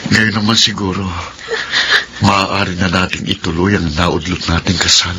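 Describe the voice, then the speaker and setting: A man's voice: deep voice, steady tone, moderate pace, noisy background, natural voice. A man playing an ardent, defiant young man in a Filipino radio romance drama, speaking emphatically and earnestly with a Filipino accent. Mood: tender, pleading.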